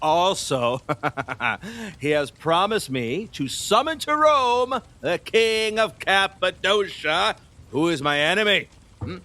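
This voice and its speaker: Guy doing a pompous lecherous king in a radio play, slowish, kinda high voice, rambles on grandly. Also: big pitch swings, pushed and bright, full character voice. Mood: boastful, elated. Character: pompous, lecherous, superstitious tetrarch king, boastful and uneasy. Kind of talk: theatrical audio play acting.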